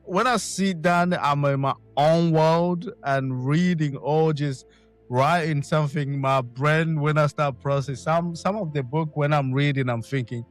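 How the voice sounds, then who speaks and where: A man from Africa with an African accent, hosting a reaction video and speaking passionately in broken, repetitive English. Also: fairly high pitch. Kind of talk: casual reaction commentary.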